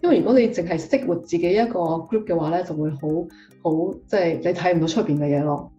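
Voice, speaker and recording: A woman's voice: fairly low pitch, fast talker, warm tone. Woman. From Hong Kong. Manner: chatty, with many fillers and restarts. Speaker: guest tax professional. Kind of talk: casual interview answers. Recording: dull distant mic with faint music.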